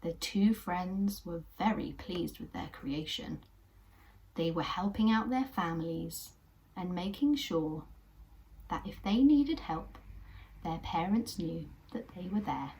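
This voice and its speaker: A young English woman hosting a children's puppet show, telling a story in a gentle, encouraging way. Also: on the low side, animated, slow pace, rough recording. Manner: gentle, encouraging, sometimes whispery narration.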